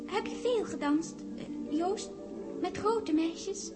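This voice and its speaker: An actress playing a gentle, eerie young woman in a 1960s Dutch radio play, hushed and dreamy, often slipping into a whisper. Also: very high-pitched, slow. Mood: tender, playful. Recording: 1961 radio recording, music behind.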